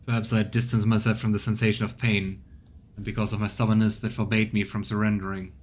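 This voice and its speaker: A man voicing a proud, stubborn interrogator in a game, weakened by torture, speaking formally and haltingly in a strained, bitter way. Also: lowish voice, level tone, close to an everyday voice. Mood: grim, weary. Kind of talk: acted reading of game dialogue.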